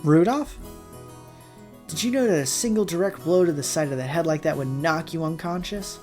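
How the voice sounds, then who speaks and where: A man's voice: a little high, moderate pace, a little mellow, natural voice. A man voicing a formidable middle-aged elder sister, composed and scolding, in an amateur visual novel reading over music. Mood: coolly menacing.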